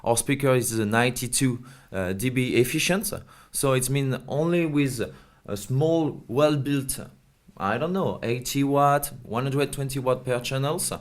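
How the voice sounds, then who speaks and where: A man's voice: mid-range voice, measured pace. Man explaining a product. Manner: hesitant non-native English phrasing. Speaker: company representative being interviewed.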